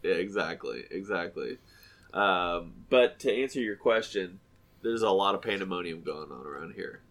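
A man's voice: low voice, expressive, normal speed, a bit bright. A man in his thirties with a stuffy, nasal voice, co-hosting a casual chat podcast in a rambling, self-correcting way. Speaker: podcast co-host.

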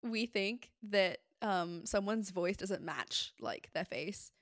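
A woman's voice: medium pitch, animated, takes it slowly, no echo, studio quality. A young woman co-hosting a podcast, chatty and curious, with lots of likes and ums. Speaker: podcast co-host. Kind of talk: casual chat.

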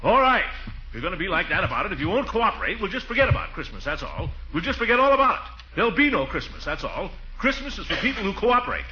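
Casting: blustery, pompous but tender-hearted uncle, highly animated delivery, vintage radio sound. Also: male voice, fast, exaggerated voice. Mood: exasperated, coaxing. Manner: blustering, sighing, swings from scolding to sheepish. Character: blustery, pompous, soft-hearted middle-aged uncle raising his nephew. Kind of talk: 1940s radio comedy acting. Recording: dull 1940s radio recording.

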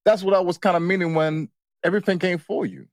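A man's voice: sits high, steady tone, fast talker, no echo, clean studio sound. A man co-hosting a casual, unscripted relationship chat, talking in a colloquial, matter-of-fact way. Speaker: co-host of a couples' relationship show.

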